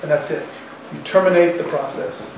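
A man in his sixties lectures like a professor thinking aloud, in colloquial language. His voice sits fairly high. It is picked up from some distance in a very echoey classroom, with faint background noise. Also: steady tone, normal speed, vocal fry. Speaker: university economics professor. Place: university classroom in front of chalkboard.

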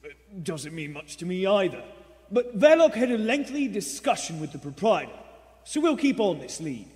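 A man's voice: high voice, sing-song, normal speed, darkish tone. A man playing a businesslike police superior, questioning and probing in a live radio play. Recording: echoey theater hall.

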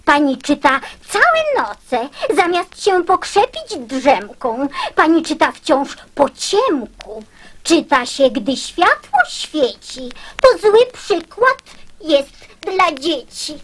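High-pitched scolding magpie. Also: female voice, very animated, exaggerated voice. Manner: preachy, drawn-out reproach. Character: scolding, fussy female magpie. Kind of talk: radio play of children's verse.

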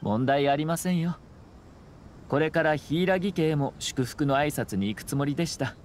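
A man voicing a composed young nobleman in an anime game, speaking in a measured, formal, polite way over faint background ambience. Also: a little high, a little mellow, a little hiss or hum, close to an everyday voice. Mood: calm, assured. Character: composed, courteous young nobleman and clan commissioner.

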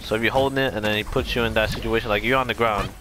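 A man's voice: lowish voice, steady tone, racing, loud music behind the voice, rough recording. A man giving a casual, unscripted gameplay explanation, rambling and correcting himself as he goes. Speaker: video game tutorial creator.

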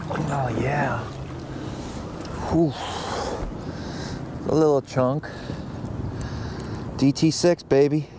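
A man in his thirties talking casually while fishing from an open boat on a river, getting excited when fish bite, picked up by a camera on the boat. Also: a little high, extremely slow, pauses often. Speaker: fishing vlogger. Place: open bass boat on a wide river outdoors. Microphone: boat-mounted action camera. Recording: outdoor wind and boat noise. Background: boat and water noise.

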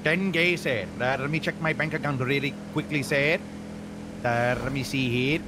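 A man's voice: medium pitch, fairly even intonation, normal speed, noisy background, close to an everyday voice. A man putting on an overly polite customer with an Indian accent. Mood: polite, eager. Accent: put-on Indian. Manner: overly polite, says sir constantly. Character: fast-talking man with put-on Indian accent, phone customer. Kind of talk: roleplay phone call in a game.

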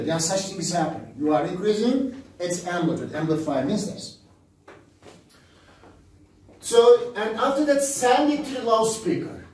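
A man's voice: high-pitched, a little mellow. An older man with a Turkish accent, a native Turkish speaker, teaching a classroom lesson step by step in short, repeated phrases. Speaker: physics teacher. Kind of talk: classroom lecture explanation. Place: small indoor classroom at a smartboard. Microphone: camera several metres away. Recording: very echoey room, distant microphone.

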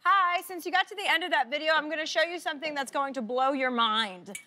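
A woman's voice: sits high, quick pace, bright, forceful tone. A woman hosting a talk show interview, asking short questions and reacting briefly. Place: talk show studio with audience.

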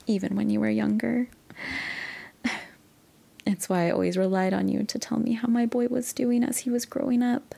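A woman playing a doting middle-aged mother in an intimate ASMR roleplay, speaking in a breathy, often near-whispered voice with an affectionate, hesitant manner. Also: medium pitch, heavily acted. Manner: unhurried, affectionate, hesitant, with soft sighs and chuckles. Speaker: audio roleplay performer.

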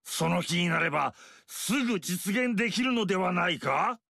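A leering old tyrant. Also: male voice, animated, normal speed, creaky, sharp tone, no echo, exaggerated voice. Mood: boisterous. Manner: leering, boisterous, booming laughs. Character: lecherous greedy fat old male tyrant. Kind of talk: video game dialogue. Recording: dry studio recording.

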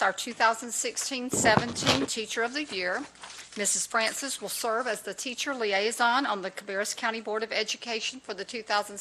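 A woman's voice: a little high, bright, forceful tone, a little hiss or hum, poor audio. Woman reading a formal introduction. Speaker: school official introducing a teacher. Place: large public meeting room. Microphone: desk microphone.

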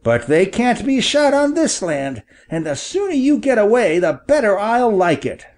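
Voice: mid-range voice, sing-song, normal speed, a little mellow, pitched above the performer's own voice, close to an everyday voice. A reader voicing a young farm boy who fiercely scolds a hunter while protecting a wounded bird, in an audiobook. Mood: indignant. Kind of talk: audiobook character voice.

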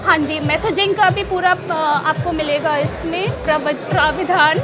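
A woman with a very high voice presents products as a company representative. She explains things with small hesitant pauses. A busy exhibition crowd and music are clearly audible behind her. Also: fairly even intonation. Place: indoor trade fair booth. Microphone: clip-on lapel mic. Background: crowd chatter and music.

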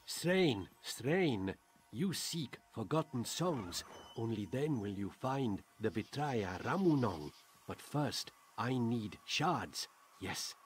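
An actor playing a cryptic, mystical alien shaman who speaks in riddles and halting broken phrases. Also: mid-range voice, very animated.

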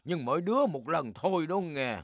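A man's voice: fairly high pitch, animated, brisk, very noisy. A man voicing an indulgent old grandfather pig in a southern Vietnamese cartoon dub. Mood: indulgent, straining.

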